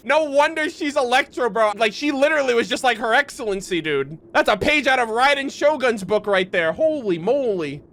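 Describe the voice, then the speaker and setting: A woman streamer giving casual, excited commentary on a story game, slangy and profane in her reactions. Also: fairly high pitch, normal speed, raised voice.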